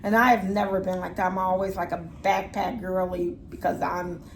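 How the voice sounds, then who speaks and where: A woman's voice: on the low side, level tone, moderate pace, gravelly, noisy background. A woman in her forties, a vlogger chatting casually and reflectively with her sister, often trailing off mid-sentence. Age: 40s. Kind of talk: casual chat.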